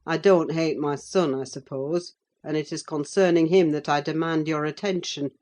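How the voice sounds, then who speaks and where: A woman voicing a wicked middle-aged gentleman in an audiobook, sardonic and accusing, with a deliberate delivery. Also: steady tone, lightly acted. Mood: insistent, mocking. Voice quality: deep. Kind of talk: audiobook character voice.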